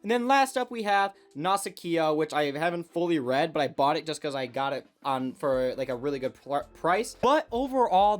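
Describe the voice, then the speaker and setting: A young adult man giving a casual, chatty showcase to viewers with an enthusiastic, effusive manner. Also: a little high. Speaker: manga collection YouTuber.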